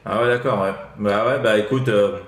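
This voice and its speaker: A man's voice: lowish voice, fairly even intonation, normal speed, very noisy. A man with a French accent from France, chatting casually as a CB radio operator giving advice, in a hesitant, rambling way full of tag words. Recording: roomy echo.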